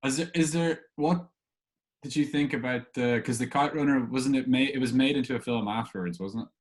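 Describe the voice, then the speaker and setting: Male interviewer, average-pitched voice, relaxed informal chat, slightly tentative delivery. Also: a little fast. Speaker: podcast host, interviewer. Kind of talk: casual, informal questioning.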